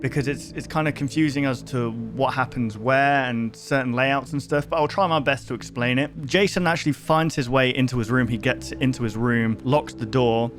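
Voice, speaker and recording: A man tells a story in a casual, informal recap with a medium-pitched voice. He talks conversationally and thinks aloud as he explains. Music plays faintly behind him. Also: moderate pace, hardly any pauses, fairly bright tone. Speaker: host of a horror book review video.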